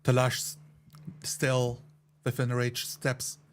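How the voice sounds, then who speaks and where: A man playing a tabletop game, narrating his character's actions in a measured, deliberate, dramatic way. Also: mid-range voice, monotone.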